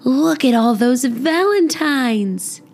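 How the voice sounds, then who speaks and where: A woman's voice: high voice, animated, takes it slowly, vocal fry, voice pushed up, exaggerated voice. A woman voicing an eager, literal-minded little schoolgirl in a storybook, exclaiming and inquisitive. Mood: amazed. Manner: bright-eyed, exclaiming, inquisitive.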